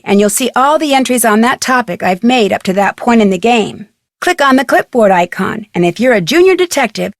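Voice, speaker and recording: An upbeat teenage detective guiding players through a mystery game. Her voice is slightly high and recorded close and dry. She explains things in tidy, scripted steps. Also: dry recording. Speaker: tutorial guide in a mystery game. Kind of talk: scripted instructional narration.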